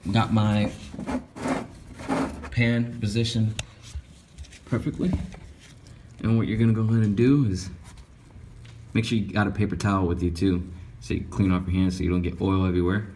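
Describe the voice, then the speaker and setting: Low-voiced man explaining car repair. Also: steady tone, normal speed, long pauses, darkish tone. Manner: casual step-by-step instruction while working. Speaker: auto mechanic. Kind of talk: how-to repair vlog. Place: auto repair shop garage. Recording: echoey garage with faint noise.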